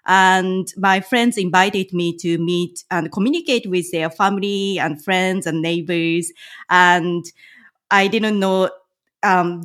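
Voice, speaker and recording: A woman from Japan whose first language is Japanese, answering interview questions as a tour guide in careful, measured speech with hesitant pauses. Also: on the low side, fairly bright tone. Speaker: guest, licensed tour guide.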